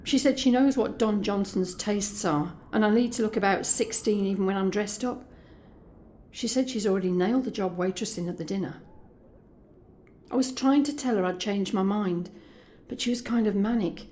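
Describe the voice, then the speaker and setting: A woman's voice: mid-range voice, normal speed, mellow, slight background noise, lightly acted. A woman playing an anxious but determined young escort in an intimate, conversational audio drama monologue. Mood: troubled, confiding. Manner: confiding, conversational.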